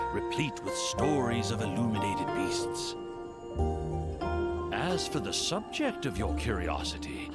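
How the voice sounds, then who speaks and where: An actor playing a wise, genial storyteller who recounts old legends in a measured, theatrical way for an animated game trailer. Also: male voice, mid-range voice. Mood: amused, intriguing. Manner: measured, expressive, savoring each phrase. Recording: background music under the voice.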